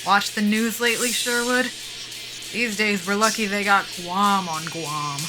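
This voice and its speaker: A woman's voice: mid-range voice, unhurried, over music, natural voice, low-quality recording. An actress playing a wry, down-on-her-luck young military pilot in a naturalistic audio drama. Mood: playful, surprised.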